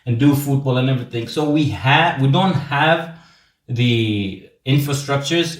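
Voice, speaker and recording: Guy from zanzibar asking questions, laid back, pauses a lot, echoey room, mid voice. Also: medium pitch. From Zanzibar, Tanzania. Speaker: interviewer. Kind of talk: casual interview.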